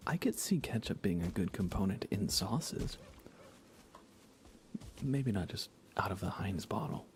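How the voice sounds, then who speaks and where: An American man speaking in soft, breathy whispers, chatting casually and unhurriedly to viewers of an ASMR livestream. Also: on the low side. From United States. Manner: hushed, unhurried, conversational.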